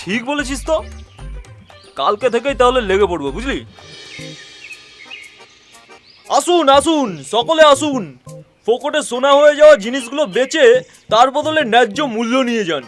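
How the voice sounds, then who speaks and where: Greedy cartoon trader, very high pitched, blustering bully, light music underneath. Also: male voice, lively intonation, brisk, fairly bright tone. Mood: sly, scheming. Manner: blustering, exclamatory. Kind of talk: children's cartoon voice acting.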